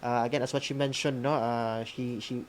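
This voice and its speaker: A man's voice: medium pitch, level tone, fairly quick, slight background noise. A man with a Filipino accent casually hosting an online seminar and moving between speakers. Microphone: online video call.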